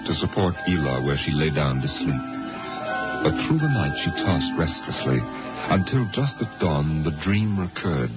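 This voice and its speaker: A grave storyteller in a vintage radio drama. His very deep voice rises and falls dramatically over loud music, solemn and theatrical. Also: big pitch swings, moderate pace. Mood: grave, suspenseful. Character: grave, dramatic storyteller narrating a Martian tale. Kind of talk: 1950s radio drama narration. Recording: dull 1950s radio recording with loud music.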